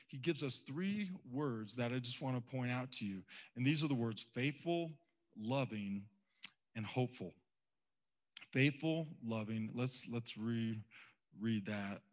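Conversational male preacher. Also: medium pitch. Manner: conversational, acts out quoted voices. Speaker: pastor. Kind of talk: sermon.

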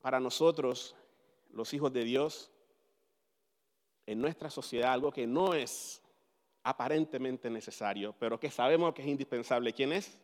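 A man whose first language is English, preaching a sermon in Spanish in an animated way and asking the audience questions. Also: medium pitch, moderate pace, pauses often, long reverb tail. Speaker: pastor.

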